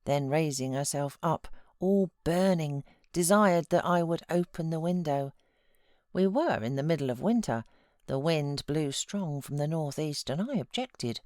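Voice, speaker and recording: A woman's voice: low-pitched, expressive, a little slow, darkish tone, no room sound, studio quality. A woman with a British accent reading a classic novel aloud as a scripted audiobook narration. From Britain. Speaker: audiobook narrator, voiceover artist.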